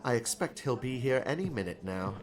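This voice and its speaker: A man voicing a polite, genial, mildly dry businessman with a measured, courteous manner in an audiobook. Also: mid-range voice, lively intonation, voice pushed up, lightly acted. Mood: polite regret. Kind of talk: audiobook character dialogue.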